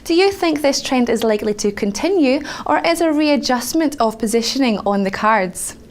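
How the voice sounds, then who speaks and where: A woman's voice: high voice, expressive, normal speed. A woman presenter reading scripted questions in a financial news interview.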